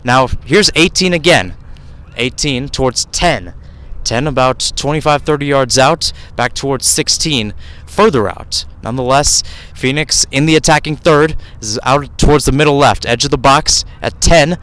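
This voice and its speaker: A man's voice: medium pitch, moderate pace, a bit bright, clear, resonant tone. A man doing live radio play-by-play of a soccer match outdoors, describing the action in short, clipped phrases. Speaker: play-by-play sports commentator.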